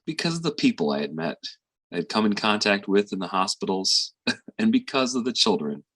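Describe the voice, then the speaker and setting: An actor playing a weary, tender middle-aged father and physician in a reflective, halting stage monologue. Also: on the low side, sing-song, a bit bright, husky, full character voice. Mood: tender, earnest. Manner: reflective, halting with sighs and pauses.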